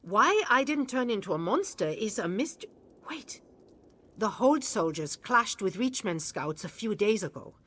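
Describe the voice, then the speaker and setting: An actress playing an exhausted, loyal court mage in a fantasy video game, earnest and dramatic. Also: female voice, mid-range voice, big pitch swings, unhurried, fairly bright tone. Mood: puzzled, thoughtful. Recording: faint music behind the voice.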